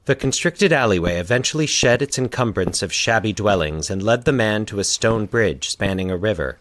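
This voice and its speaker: A man hosting and narrating a fiction podcast in a deliberate, literary storytelling delivery. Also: on the low side, lively intonation, fairly bright tone, slight background noise.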